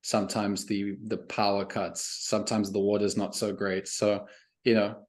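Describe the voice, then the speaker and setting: A young man from South Africa with a mixed South African and Canadian accent, telling his story as a podcast guest in a relaxed, laid-back way. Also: lowish voice, moderate pace. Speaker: guest, email marketing coach. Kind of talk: casual interview chat.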